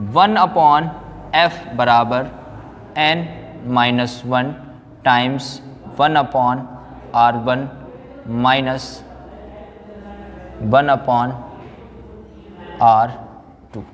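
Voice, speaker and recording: A man's voice: medium pitch, a bit bright. A man teaching physics in a didactic way, often asking questions and answering them himself. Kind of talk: classroom lecture, explaining formulas. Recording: echoey hall-like reverb.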